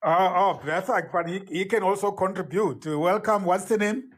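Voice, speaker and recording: A man's voice: sits high, moderate pace, fairly bright tone. A man hosting a live podcast interview, effusive and prone to repeating his words as he starts a thought. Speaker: podcast host.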